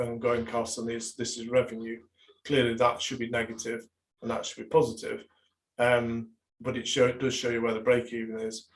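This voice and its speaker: A man's voice: medium pitch, rough recording. A man, a business coach, leads a live online workshop, talking with many fillers and restarts while losing his voice over a video call. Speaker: business and marketing coach leading a webinar.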